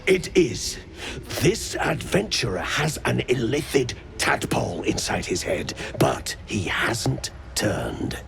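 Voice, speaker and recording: A man playing an erudite, inquisitive adventurer in a video game, articulate, expressive and musing. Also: medium pitch, takes it slowly, vocal fry, music in the background, heavily acted. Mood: intrigued. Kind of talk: video game dialogue acting.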